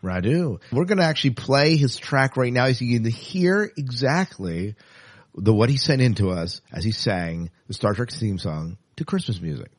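A man's voice: medium pitch, lively intonation, normal speed, no echo. A man hosting a podcast in a casual, chatty and enthusiastic way. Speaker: podcast host.

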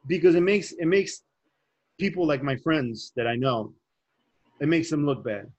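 Husky Chicago guest, casual and hesitant. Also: male voice, on the high side, animated, normal speed, a little mellow, dull audio. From Chicago, southwest side. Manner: conversational, agreeable, hesitant restarts. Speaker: podcast guest. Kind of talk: casual podcast chat.

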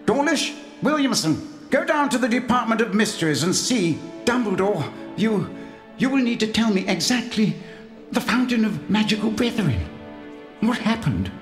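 A flustered middle-aged ministry man, put on by a male narrator, stammering and breathless. The voice rides high and swoops all over the place. It sits in a roomy, echoing space. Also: a bit bright, exaggerated voice. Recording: roomy echo.

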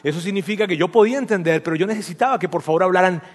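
A man's voice: high voice, racing, in a large hall. A man from Venezuela, preaching a sermon with humorous stories and asking his audience questions.

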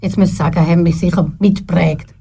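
Older Swiss German woman, mellow voice. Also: on the low side, steady tone, creaky, breathy edge. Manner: thoughtful, pauses often to search for words. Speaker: guest, retired TV presenter. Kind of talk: relaxed radio studio interview. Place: radio studio.

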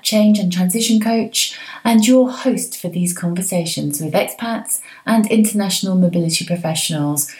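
A woman hosting a podcast, reading a clear, friendly intro and then chatting with her guest. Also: fairly low pitch, darkish tone. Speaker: podcast host and change coach. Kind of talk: scripted podcast intro, then interview chat.

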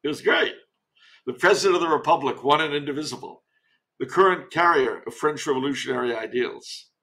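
Male podcast guest, American, gravelly creak, wry and emphatic opinionated talk over a remote call. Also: a little high, a little fast, sharp tone. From United States. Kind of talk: conversational opinion and commentary. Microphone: remote internet call.

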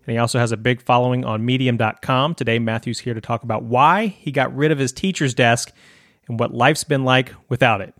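A man's voice: mid-range voice, steady tone, fairly quick, a bit bright, dry recording, clean studio sound. A man hosting an education podcast, talking in a chatty, conversational way with frequent fillers. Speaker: podcast host. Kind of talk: podcast interview.

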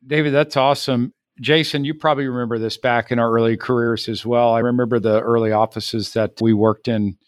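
Easygoing co-host guy, rambly questions, medium pitch, dry sound. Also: fairly even intonation, a little fast, hardly any pauses, dry recording. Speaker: podcast co-host. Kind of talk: casual podcast conversation.

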